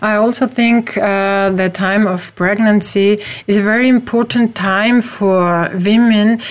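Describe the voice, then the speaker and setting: A middle-aged woman from Austria with an Austrian German accent, hosting a radio talk show in slow, hesitant speech with many fillers. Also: medium pitch, steady tone.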